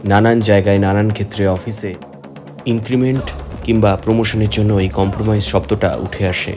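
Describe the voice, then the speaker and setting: A male narrator with a low voice delivers scripted lines straight to the viewer. His manner is earnest and exhorting, with faint music underneath. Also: level tone, fast.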